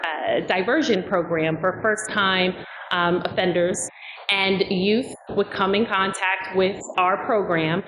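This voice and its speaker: A middle-aged woman from Delaware, a candidate for attorney general, giving an earnest, measured opening statement about her life and career. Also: mid-range voice. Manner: earnest, measured, personal storytelling. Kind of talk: prepared campaign opening statement. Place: fire company hall at a forum. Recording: echoey hall with background noise.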